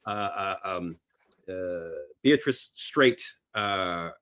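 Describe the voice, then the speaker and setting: A man's voice: sits low, very slow, fairly bright tone, clean tone. A man telling film-history anecdotes casually and emphatically as a podcast guest, often slipping into quoted dialogue.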